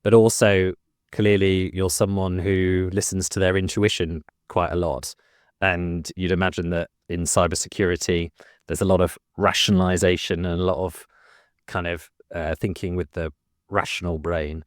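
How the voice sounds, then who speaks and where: A man's voice: deep voice, flat delivery, normal speed, clean tone, dry recording, clean studio sound. A man hosting a business podcast, asking a guest curious, friendly questions. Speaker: podcast host.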